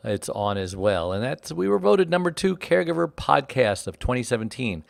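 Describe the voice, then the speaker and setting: An enthusiastic male radio host with a very animated, swooping delivery who leans on words for emphasis. He sounds close and dry on the mic, with no room around him. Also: medium pitch, creaky, no room sound. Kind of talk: upbeat radio show hosting. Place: Los Angeles.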